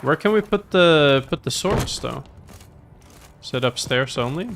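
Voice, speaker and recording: A man's voice: fairly high pitch, expressive, unhurried, fairly bright tone. A man doing casual, upbeat gameplay commentary as a streamer, thinking aloud as he goes. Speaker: game streamer. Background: faint game music.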